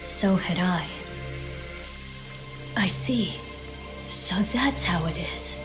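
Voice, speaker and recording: A woman's voice: lowish voice, animated, extremely slow, music in the background. A woman playing a proud, guarded exiled boy prince of about ten in an anime audio drama, haughty and introspective. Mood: brooding, reflective.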